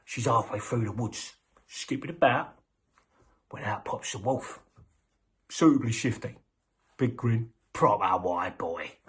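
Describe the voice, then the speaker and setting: A man's voice: lowish voice, takes it slowly, long pauses, husky. A man telling a comic bedtime story in a cheeky, slangy way, often half-whispering. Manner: cheeky, slangy, deadpan asides.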